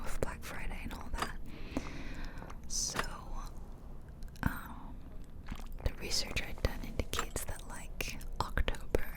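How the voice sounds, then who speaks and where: A woman whispering softly and breathily into a close microphone, chatting casually in a rambling ASMR style. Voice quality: soft, breathy unvoiced whisper. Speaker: ASMR video creator. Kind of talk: ASMR whispered casual chat over gameplay. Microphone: close ear-to-ear microphone.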